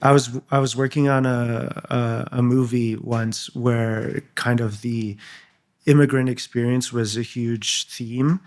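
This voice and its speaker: A man's voice: mid-range voice, fairly even intonation, slow, darkish tone. Hesitant young man, easygoing chat. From Canada. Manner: hesitant, many fillers and restarts. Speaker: guest. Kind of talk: casual interview talk.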